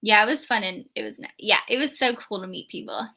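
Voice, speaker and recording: A woman's voice: mid-range voice, very animated, quick pace, bright, forceful tone. A woman co-hosting a live book readalong show, chatting casually and animatedly with a friend. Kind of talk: casual chat between friends.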